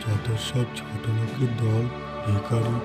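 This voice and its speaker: A man's voice: on the low side, flat delivery, a little slow, loud music behind the voice, close to an everyday voice. A man voicing a harsh, greedy village landlord in a cartoon, scolding with stern scorn. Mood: stern, scornful.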